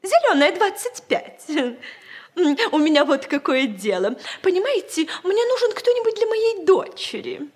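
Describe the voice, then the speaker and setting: A woman's voice: very high-pitched, sing-song, full character voice. An actress playing a flirtatious, theatrical, much-married middle-aged mother in a 1960s radio comedy, gushing and giggly, drawing out her words. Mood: fluttery, excited. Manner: coquettish, giggly, gushing, drawn-out delivery.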